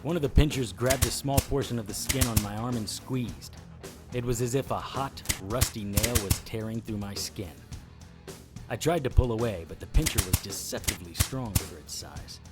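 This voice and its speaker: A man's voice: mid-range voice, normal speed, lightly acted. An actor playing a tough, wisecracking monster hunter, narrating his own story in a dry noir style for an audio drama. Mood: tense narration. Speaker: lead actor narrating in first person. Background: music bed under the voice.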